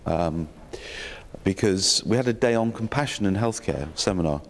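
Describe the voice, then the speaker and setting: A man with a slightly croaky voice giving a welcome speech at a health sector session, with dry self-mocking humour and many ums and restarts. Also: deep voice, expressive, moderate pace. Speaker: opening speaker at a health sector learning session. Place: conference hall. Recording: long hall reverb.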